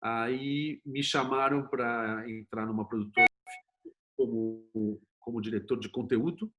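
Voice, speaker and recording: A man's voice: mid-range voice, steady tone, a little slow, highs cut off, slight background noise. A middle-aged man with a Brazilian Portuguese accent, telling his own story candidly as an interview guest. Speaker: interview guest, screenwriter and producer.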